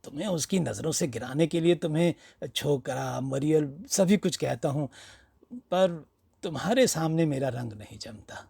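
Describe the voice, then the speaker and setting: A man voicing a hearty, flattering old villager in a storybook reading, coaxing and pleading in a familiar, emphatic way. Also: fairly high pitch, normal speed, vocal fry, slightly rough, no echo, studio quality, exaggerated voice. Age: older.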